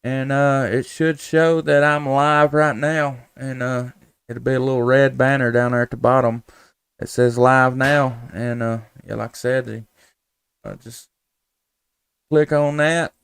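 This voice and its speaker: A man's voice: mid-range voice, little pitch movement, measured pace, smooth. A man, chatting casually as a ham radio operator on a live stream in a folksy, easygoing way with call-sign phrasing. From United States, Tennessee.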